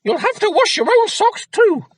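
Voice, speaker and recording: Man putting on a shrill, screeching angry mother. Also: very animated, normal speed, no room sound, clean studio sound. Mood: angry scolding. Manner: shouting insults. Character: Jack's furious, scolding mother, shrill and exasperated.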